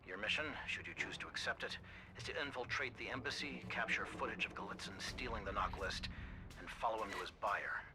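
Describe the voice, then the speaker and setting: A man playing a composed, middle-aged spy team leader giving measured, businesslike mission briefings in a video game. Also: fairly low pitch, noisy background, natural voice. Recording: loud music behind the voice. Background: loud music.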